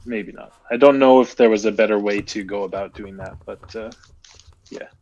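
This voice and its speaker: Brief: a lower-voiced man, playful card-game streamer, easygoing banter. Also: fairly even intonation, normal speed, darkish tone, muffled recording. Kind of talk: casual chat during card game stream.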